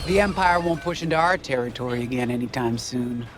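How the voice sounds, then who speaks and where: An actor playing a steady, plain-spoken veteran rebel pilot in a video game, giving firm advice over music. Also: male voice, mid-range voice, fairly quick, close to an everyday voice. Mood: brisk. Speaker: squadron pilot. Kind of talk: cinematic video game dialogue.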